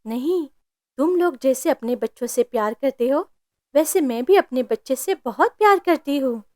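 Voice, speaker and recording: A woman's voice: high voice, expressive, normal speed, a little mellow, no room sound, clean studio sound, voice pushed up. A woman voicing a loving, fiercely protective mother bird in a cartoon story, speaking with tender, emphatic feeling. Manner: tender, emphatic, indignant when her child is threatened. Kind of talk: Hindi cartoon story voice acting.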